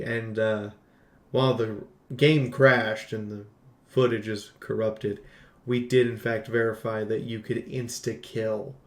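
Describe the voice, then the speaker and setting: A man giving casual, unscripted game commentary as a reviewer, rambling with animated asides and slang. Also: medium pitch. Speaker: video game reviewer and streamer. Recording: echoey room.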